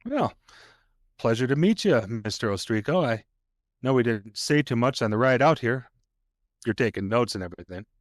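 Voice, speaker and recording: A folksy small-town deputy, played by a male actor in an audio drama, with a mid-pitched voice. He talks in a chatty, colloquial, storytelling way, recorded close and dry. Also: animated, normal speed, pauses often, no room sound, close to an everyday voice. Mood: folksy, easygoing. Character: chatty folksy rural sheriff's deputy, local man. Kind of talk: naturalistic audio drama dialogue.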